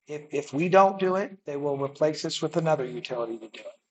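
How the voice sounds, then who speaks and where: Halting man defending his utility. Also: on the high side, animated, a little mellow, highs cut off. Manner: defensive, halting, repeats words. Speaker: utility representative. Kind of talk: public meeting response. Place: public meeting hall.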